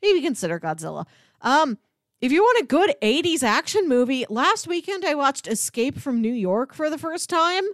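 An American woman co-hosting a podcast, chatting casually about films in a chatty, animated way. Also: sits high, normal speed, no room sound, studio quality. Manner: chatty, animated, often laughing while talking. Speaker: podcast co-host.

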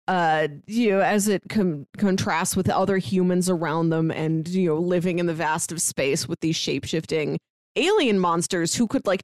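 A woman's voice: lowish voice, no echo, clean studio sound. A woman co-hosting a podcast in a casual, conversational discussion of books. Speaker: podcast co-host reviewing manga.

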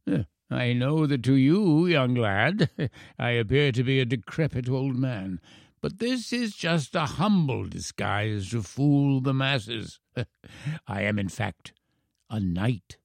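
A man voicing a frail, eloquent English professor in his eighties, formal, halting and playfully teasing, in a storybook reading. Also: mid-range voice, lively intonation, gravelly, dry recording, clean studio sound. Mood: playfully secretive. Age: 80s. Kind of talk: storybook character voice.

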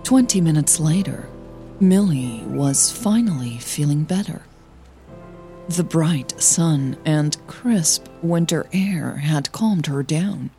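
A woman's voice: sits low, lively intonation, takes it slowly, dark tone, soft background music. A woman reading scripted narration for an audio fiction story.

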